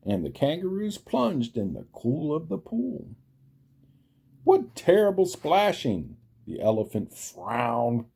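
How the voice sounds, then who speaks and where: A man reading a children's storybook aloud with dramatic, deliberate delivery. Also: on the high side, huge pitch swings, creaky, warm tone, breathy edge. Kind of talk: expressive children's read-aloud. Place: outdoors.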